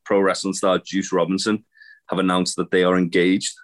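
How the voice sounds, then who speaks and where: Deep-voiced man with a fading cold voice. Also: level tone. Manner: halting, many fillers and restarts. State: losing his voice, has a cold. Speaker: podcast host. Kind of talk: casual chat, reading news.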